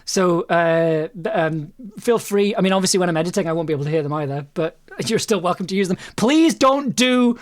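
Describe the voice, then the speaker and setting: A man's voice: sits high, animated, a little fast, hardly any pauses, no room sound, clean studio sound. A man hosting a casual livestream group chat, often repeating and rephrasing himself as he talks. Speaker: host.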